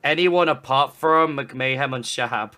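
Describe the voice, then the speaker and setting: A man's voice: mid-range voice, a little slow, bright, forceful tone. A British man chatting casually as a player in a group online game.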